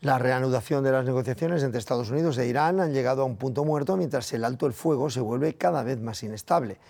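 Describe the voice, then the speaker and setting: A man's voice: mid-range voice, quick pace, creaky, darkish tone. A man with a Castilian Spanish accent presenting the news in a scripted reading style.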